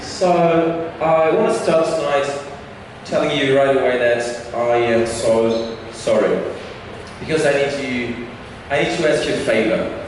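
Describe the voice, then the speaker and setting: A man's voice: mid-range voice, a little slow. A man doing stand-up and crowd work, chatty and joking with lots of asides and fillers. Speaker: stand-up comedian. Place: comedy club stage. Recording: echoey hall, distant mic, noise.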